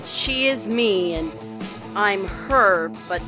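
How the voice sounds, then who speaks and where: A woman's voice: medium pitch, animated, takes it slowly, over music. A woman playing a cynical, emotional teenage schoolgirl in an amateur online radio drama. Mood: earnest. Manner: emotional, indignant, emphatic. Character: cynical, defiant teenage schoolgirl, secretly a model.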